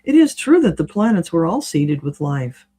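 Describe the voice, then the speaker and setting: A woman's voice: on the low side, very animated, moderate pace, warm tone, slightly rough. A woman giving a conversational spiritual reading as a guest, explaining things in a matter-of-fact way. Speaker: spiritual reader, interview guest.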